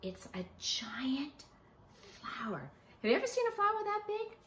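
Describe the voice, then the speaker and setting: Middle aged lady reading a kids picture book, highish voice, expressive teacher style, echoey room at home. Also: unhurried, a little hiss or hum. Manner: expressive, emphatic, child-directed delivery. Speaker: preschool teacher reading aloud. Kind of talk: picture-book read-aloud for young children with simple questions. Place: small living room, indoors on a sofa. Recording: roomy home recording.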